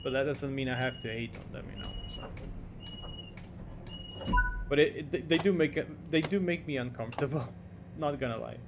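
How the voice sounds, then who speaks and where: A man's voice: medium pitch, moderate pace, pauses often, background noise. A man from Portugal chats casually and easygoingly on a livestream, in an informal, self-qualifying way. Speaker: live streamer playing a train simulator.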